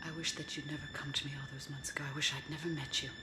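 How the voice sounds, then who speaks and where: An actress playing a bitter, frightened prostitute in a film, scornful, reproachful and emotional. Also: female voice, low-pitched, little pitch movement, very fast, natural voice. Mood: bitter regret. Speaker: actor. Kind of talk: dramatic film acting. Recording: echoey hall reverb, faint music.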